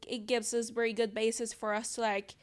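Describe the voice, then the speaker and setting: A young woman from El Salvador, a native Spanish speaker, chats as a guest on a podcast. Her voice sits a little high. She hesitates often, filling gaps with "like" and "um" and restarting sentences as she goes. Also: flat delivery, fairly quick. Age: 20s. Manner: hesitant, many fillers and restarts. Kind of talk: casual interview chat.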